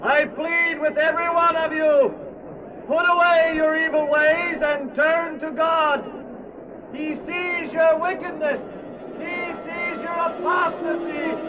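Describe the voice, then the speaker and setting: An actor playing an earnest, fervent preacher warning of doom in a solemn, declamatory Bible radio drama. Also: male voice, extremely high pitch, little pitch movement, slow pace, crowd noise. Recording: muffled old cassette, distant, faint hiss.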